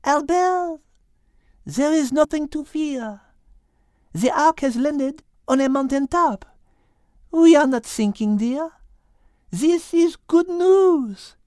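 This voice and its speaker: A woman's voice: high voice, animated, takes it slowly, pauses often, warm tone, no echo, studio quality. A woman voicing a prim, clever female cat with a French accent and precise, formal speech for a children's audio story. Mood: reassuring. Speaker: podcast co-host in character. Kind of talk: audiobook character voice and in-character banter.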